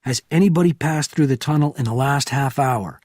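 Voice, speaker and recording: A man voicing a calm, hardened male agent who speaks low and firmly. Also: a little fast, dry recording, lightly acted. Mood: calm, urgent.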